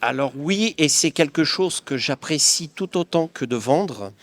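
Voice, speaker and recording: A man's voice: fairly high pitch, expressive, measured pace, fairly bright tone. A middle-aged man from the Paris region, answering interview questions in an earnest, reflective way with frequent restarts. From Paris region of France. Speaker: craftsman guest.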